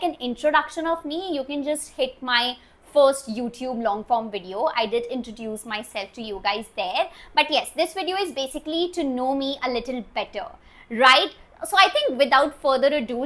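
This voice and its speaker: Bright, high-voiced young Indian woman. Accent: Indian English. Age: 20s. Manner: chatty, heavy use of "like", switches between English and Hindi. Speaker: YouTuber. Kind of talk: casual sit-down Q&A vlog.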